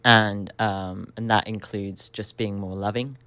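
A man in his twenties, a radio guest, speaking reflectively and searchingly with many pauses and soft laughs. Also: fairly low pitch, steady tone, clear, resonant tone. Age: 20s. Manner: searching and thoughtful, many ums, laughs often. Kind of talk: reflective radio interview talk.

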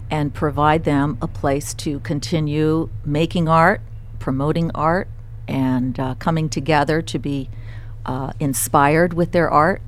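A woman guest on a radio show, calm and dryly teasing. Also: low-pitched, slight background noise. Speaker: guest, group organizer. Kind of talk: radio interview. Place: radio studio.

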